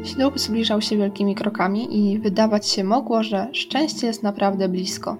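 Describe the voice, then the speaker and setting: Music plays behind a young woman with a mid-pitched voice. She hosts a radio crime programme and narrates her story from a script. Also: fairly even intonation, normal speed. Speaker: radio true-crime show host.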